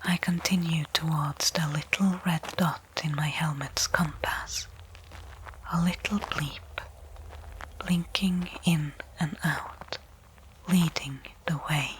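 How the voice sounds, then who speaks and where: A woman playing a tense stranded space explorer in a breathy, hushed ASMR audio roleplay monologue, phrasing deliberately with pauses. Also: low voice, steady tone, noisy background. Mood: uneasy, pensive. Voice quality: breathy, unvoiced whisper.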